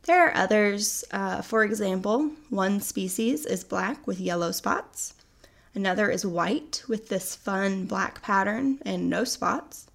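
A woman's voice: medium pitch, takes it slowly, creaky. A young woman from North America hosting a casual solo podcast, chatty and upbeat, sounding a little sickly from a cold. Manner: chatty and upbeat, explaining facts. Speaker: podcast host.

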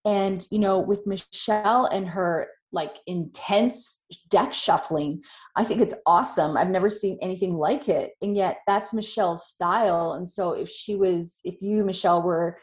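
Earnest woman talking, slightly low voice. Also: normal speed. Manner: earnest, emphatic, many "you know" fillers. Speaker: podcast co-host. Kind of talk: casual conversational chat.

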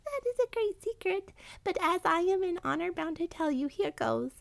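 A woman's voice: very high voice, expressive, normal speed, creaky, pitched above the performer's own voice, full character voice. A woman voicing an anxious, honourable male rat in a children's story, pleading in wordy, very polite phrases. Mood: confiding. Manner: pleading, wordy, formally courteous. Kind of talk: children's animal storybook character.

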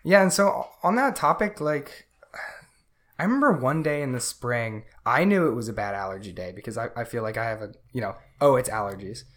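A man's voice: medium pitch, lively intonation, brisk, a bit bright. A man co-hosting a podcast, explaining in a casual chat with many fillers. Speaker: podcast co-host.

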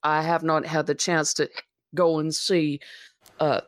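A woman's voice: low voice, little pitch movement, measured pace, no echo. A woman voicing a prim, polite female adventurer who speaks in formal, overly courteous phrases. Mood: guarded.

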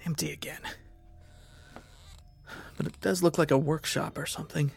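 A man's voice: on the high side, fairly even intonation, moderate pace, vocal fry, noisy background. A man acting a wary, pragmatic military officer stranded on a hostile ship in a science fiction audio drama, terse and dry as he talks to himself. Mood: guarded, reporting. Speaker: lead actor in audio drama.

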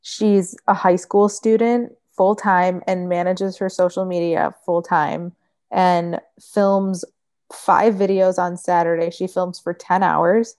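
A woman in her late twenties, a podcast guest chatting casually and telling stories with animated emphasis. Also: fairly low pitch. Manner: animated, laughing storyteller. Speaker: guest food blogger. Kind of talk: casual chat.

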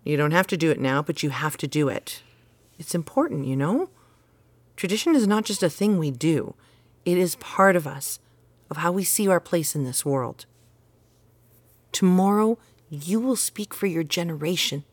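A woman's voice: on the low side, expressive, normal speed, no room sound. An actress playing an earnest, exacting mother devoted to tradition in an audio drama, with an insistent, instructive manner. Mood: earnest, reassuring. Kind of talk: naturalistic audio drama dialogue.

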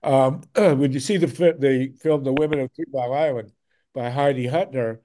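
A man's voice: mid-range voice, fairly even intonation, normal speed, dark tone, a little hiss or hum. A man hosting a talk show over a video call, speaking emphatically and repeating words when something moves him. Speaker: host.

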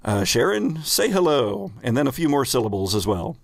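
A man's voice: medium pitch, very animated, moderate pace, gravelly, no echo. A man hosting and narrating a tabletop roleplaying game as game master, with casual improvised phrasing and dramatic storytelling emphasis. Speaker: podcast host and game master.

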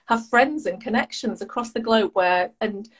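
A woman's voice: fairly high pitch, normal speed, breathy edge. A woman with an English accent, a guest on a podcast, chatting enthusiastically over a video call and restarting her words. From United Kingdom. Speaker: guest coach. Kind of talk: casual chat.

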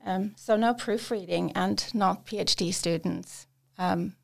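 A woman's voice: mid-range voice, a little slow, gravelly. A woman working as an academic English tutor, answering interview questions in a hesitant way with many fillers and restarts.

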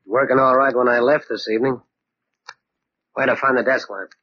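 An actor playing a tough, impatient, wisecracking investigator with a husky voice in a 1940s radio drama. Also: male voice, medium pitch, fairly even intonation, moderate pace, natural voice. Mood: brisk, impatient. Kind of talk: 1940s hard-boiled radio drama acting. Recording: dull 1940s radio recording.

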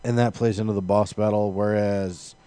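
A man's voice: lowish voice, fairly even intonation, normal speed, dark tone, smooth. A man, perhaps in his thirties, chatting informally about video games as a co-host, with plenty of profanity.

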